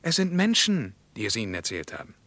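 An actor playing a sceptical West German individualist in a radio play, probing and arguing insistently. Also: male voice, mid-range voice, wildly swooping pitch, brisk, pushed and bright, no echo. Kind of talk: mid-20th-century German radio play dialogue.

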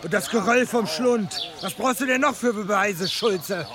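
A rabble-rousing villager in a radio drama. His voice is high, pressing and confrontational, against clear background noise. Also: a little slow. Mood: agitated. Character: insistent, rabble-rousing villager demanding action. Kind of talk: German radio drama acting.

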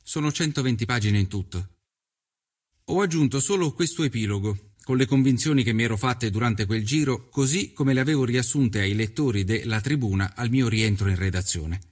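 An earnest journalist of the 1890s voiced by a male actor recounts his travels as scripted documentary narration. His delivery is measured and literary, with a middling pitch for a man. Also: breathy edge, lightly acted. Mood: reflective, somber.

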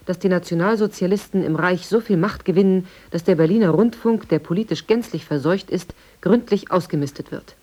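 A woman with a deep, mellow voice reads from a script for a radio feature. She sounds formal and precise. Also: a little fast, hardly any pauses. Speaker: reader of quoted press texts. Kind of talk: scripted reading.

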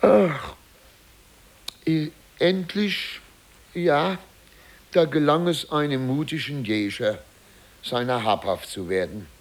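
An actor playing a middle-aged, self-important, flustered mayor, telling a story dramatically with hesitations in a 1950s radio play. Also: male voice, a little high, slow pace, pauses often. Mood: agitated, relieved. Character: flustered, self-important small-town German mayor recounting a scandal.

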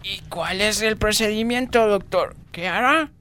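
A man playing a calm, practical, reassuring man with a measured delivery in an amateur radio drama. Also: high voice, clear, resonant tone. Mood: concerned.

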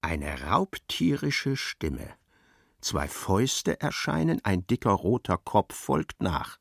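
A man's voice: fairly low pitch, big pitch swings, takes it slowly, gravelly, dry recording, clean studio sound, full character voice. A man narrating a literary radio play in a precise, wry, dramatic storytelling manner.

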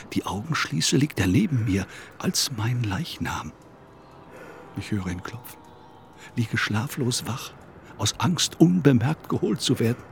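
An actor in a radio play as a morbid, clinging, sleepless man, brooding and insistent, often hushed. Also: medium pitch, vocal fry, a little hiss or hum, heavily acted. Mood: fearful, pleading. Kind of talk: intimate radio play monologue. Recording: studio radio drama, faint music.

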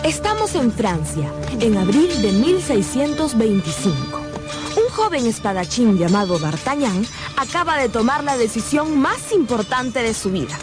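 A woman narrating a radio drama in a scripted storytelling style with deliberate emphasis. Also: a little high, big pitch swings, over music.

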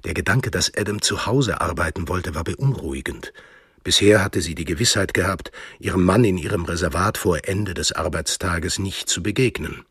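A man narrating a scripted radio drama in a measured, literary storytelling manner, with a deep, husky voice. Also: a bit bright, no room sound, studio quality.

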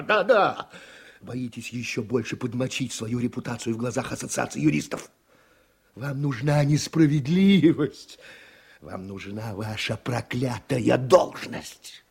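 A hard-driving political operator in a vintage-style radio play. The voice is mid-pitched, rough and creaky, and the delivery is commanding and rhetorical, with a scornful edge. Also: male voice, full character voice. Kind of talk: Soviet-era radio drama acting.

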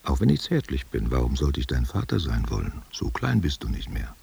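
An actor playing a gruff but tender, brooding old man in a literary radio play, reflective and patronising. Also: very deep voice, big pitch swings, a little fast, darkish tone, exaggerated voice. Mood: tender, chiding. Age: older. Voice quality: husky, gravelly.

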